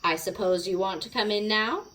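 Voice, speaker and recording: A woman's voice: mid-range voice, normal speed, fairly bright tone, slight background noise, close to an everyday voice. A woman voicing a grumbling but fond female cat owner in a children's storybook, calling and scolding in a sing-song way. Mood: mild scolding. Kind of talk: children's storybook read-aloud.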